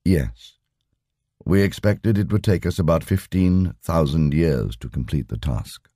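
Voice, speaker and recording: A man voicing a calm, formal lama in an audiobook story, speaking in a measured, unruffled way. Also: extremely low pitch, no echo, studio quality. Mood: calm, explanatory. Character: calm, imperturbable male Tibetan lama explaining patiently.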